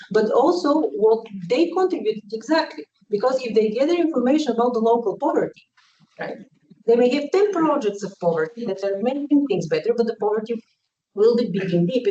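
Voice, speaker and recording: A woman's voice: a little high, lively intonation, a little fast, warm tone. A woman from Bulgaria giving an academic conference talk, hesitant, with many fillers and uneven emphasis. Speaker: conference speaker, researcher. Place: conference hall. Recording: distant, dull, faint noise.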